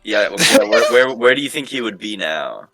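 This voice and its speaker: A man's voice: lowish voice, expressive, moderate pace, pushed and bright. A man chatting casually as a player in a tabletop game, wry and questioning. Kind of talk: casual chat.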